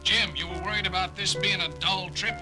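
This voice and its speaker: An actor playing a gruff, irritable male ship's doctor who talks in a blunt, grumbling way in a 1970s audio drama. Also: high-pitched, fairly even intonation, a little fast, over music, close to an everyday voice.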